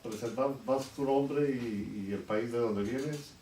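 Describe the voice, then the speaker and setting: A man leading a church service, welcoming visitors and preaching slowly and earnestly with pauses. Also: mid-range voice, dark tone. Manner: slow, earnest, with pauses and fillers. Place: church sanctuary. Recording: echoey church, distant mic, noisy.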